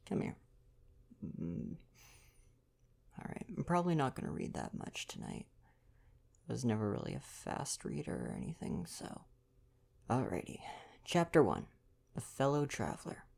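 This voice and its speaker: Gentle young man with a creaky, mellow voice. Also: mid-range voice, huge pitch swings, unhurried, pauses often. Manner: gentle, playful, affectionate. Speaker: ASMR roleplay performer and reader. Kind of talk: intimate ASMR boyfriend roleplay, casual chat and novel reading.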